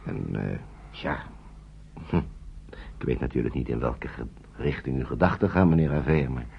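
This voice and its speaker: An actor playing a kindly older gentleman who looks after foster children in a radio drama, speaking courteously and hesitantly, repeating himself. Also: very deep voice, normal speed, creaky, slight background noise. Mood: earnest, hesitant. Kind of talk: naturalistic radio play acting. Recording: dull, lo-fi old radio play recording.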